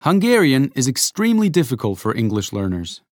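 A man reading a scripted educational narration, explaining clearly and adding rhetorical asides. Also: mid-range voice, huge pitch swings, fairly quick, no room sound, studio quality.

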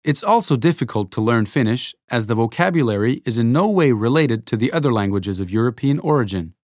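A man reading a scripted educational narration, explaining clearly and adding rhetorical asides. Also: mid-range voice, lively intonation, a little fast, no echo, studio quality.

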